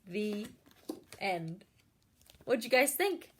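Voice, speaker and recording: A woman's voice: mid-range voice, sing-song, drawn-out words, fairly bright tone, a little hiss or hum. A woman reading a picture book aloud expressively, with chatty asides addressed straight to young listeners. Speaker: storyteller reading to children.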